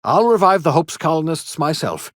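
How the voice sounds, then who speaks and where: An actor playing an eccentric elderly scientist and rebel in a video game, earnest and theatrical, with formal, old-fashioned, emphatic phrasing. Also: male voice, fairly high pitch, very animated, moderate pace, no echo, clean studio sound. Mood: earnest, hopeful. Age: older. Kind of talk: video game character dialogue.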